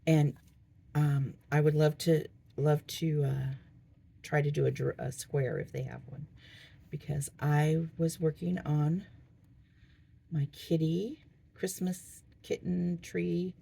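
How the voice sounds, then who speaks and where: A middle-aged woman talks through her diamond painting project in a casual, rambling way, full of fillers and asides. Her voice sits low and sounds hoarse and husky, with a gravelly edge. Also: fairly even intonation, takes it slowly, warm tone. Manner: rambling, chatty, thinking aloud with fillers. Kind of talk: casual crafting vlog chat.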